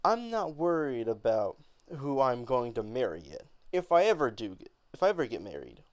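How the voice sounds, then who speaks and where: A man voicing an angry, defiant young woman in an amateur dramatic play reading, with halting, emphatic delivery. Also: mid-range voice, sing-song, darkish tone, dry recording, natural voice.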